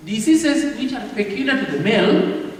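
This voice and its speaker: A man's voice: extremely high pitch, very animated, slight background noise. Kenyan man lecturing in an echoey hall. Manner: speaks slowly and deliberately, checking in with the audience. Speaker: publishing house representative. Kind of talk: training talk at a convention. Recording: echoey hall recorded from far off.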